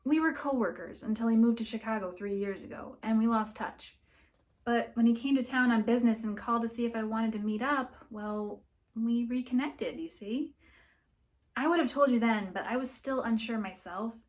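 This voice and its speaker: A woman's voice: on the high side, a little mellow, close to an everyday voice. Woman reading as an apologetic, sheepish boyfriend. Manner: hesitant, placating, earnest.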